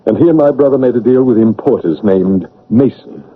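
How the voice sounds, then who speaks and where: An actor playing an anguished, brooding English gentleman with a dark secret in a classic radio drama, husky, pleading and impassioned. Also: medium pitch, lively intonation, a little fast, lightly acted. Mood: remorseful. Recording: narrow, tinny old radio broadcast.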